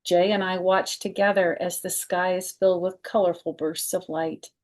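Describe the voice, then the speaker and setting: A woman's voice: fairly low pitch, little pitch movement, moderate pace. A woman hosting a children's storytime, reading a picture book aloud expressively and adding chatty, informal explanations.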